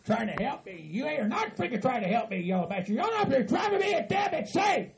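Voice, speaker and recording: A man voicing an angry, foul-mouthed Southern hillbilly who rants and shouts as if deeply wronged. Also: expressive, fast talker, creaky, slight background noise. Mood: surly, angry. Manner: hot-tempered, shouting, profane.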